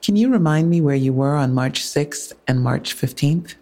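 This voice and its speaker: A woman playing a composed police officer in an audio drama, measured and polite but unyielding. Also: low-pitched, expressive, warm tone. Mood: probing. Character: firm, composed female police officer questioning a witness. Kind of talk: naturalistic audio drama dialogue.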